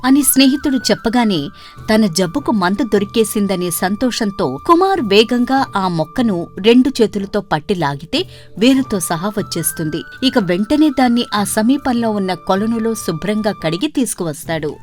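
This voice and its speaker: Woman storyteller with very lively intonation, music behind. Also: mid-range voice, moderate pace. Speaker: storyteller narrator. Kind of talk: scripted narration of a moral story. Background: background music.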